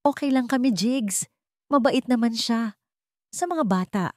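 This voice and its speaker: A woman voicing a shy, tender young mother who speaks softly and hesitantly in an audio love story. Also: a little high, unhurried, dry recording, studio quality, voice pushed up, lightly acted. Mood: reassuring. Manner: hesitant, soft-spoken.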